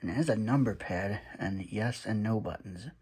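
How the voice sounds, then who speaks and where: Low-voiced man chatting through an unboxing. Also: moderate pace, heavy background noise. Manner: chatty, mutters while handling gear. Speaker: radio hobbyist vlogger. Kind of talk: casual unboxing commentary. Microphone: handheld phone camera.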